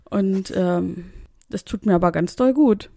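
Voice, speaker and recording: A woman co-hosting a casual faith podcast, recording remotely from a hotel room and chatting in a relaxed way. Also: mid-range voice, measured pace, vocal fry, no room sound, studio quality. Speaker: podcast co-host. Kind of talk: casual chat.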